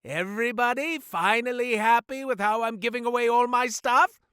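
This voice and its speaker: A man voicing a flamboyant, sly male goat-man merchant in a video game, with grandiose sales patter, deadpan asides and booming swings in pitch. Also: normal speed, clear, resonant tone, no room sound, clean studio sound. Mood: sarcastic. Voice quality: wildly swinging pitch, booming then muttering. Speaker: shopkeeper character. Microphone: dry studio mic.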